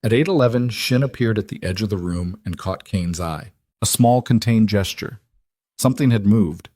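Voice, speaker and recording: A man with a fairly low voice narrates a dramatic story. His delivery is measured and deliberate, falling in short clipped phrases. The sound is dry, with almost no room on it. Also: mellow, no room sound. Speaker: audiobook narrator. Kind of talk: scripted dramatic fiction narration.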